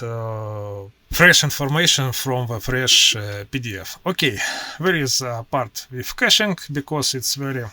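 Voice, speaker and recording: A man with a Russian accent, a native Russian speaker, walking through his software workflow in a screen-share tutorial in slow, halting English with many pauses. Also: mid-range voice, dry recording. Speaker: IT professional demoing his workflow.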